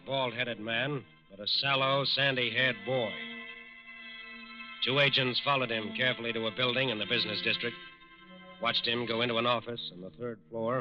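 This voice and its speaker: A man narrating a 1940s radio crime drama with deliberate, dramatic phrasing. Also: medium pitch. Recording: muffled old radio broadcast recording. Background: prominent dramatic music.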